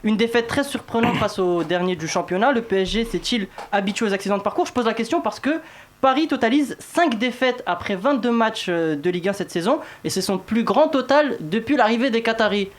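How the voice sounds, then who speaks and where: Male host, very quick delivery, football panel show, informal, teasing banter. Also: high-pitched, expressive.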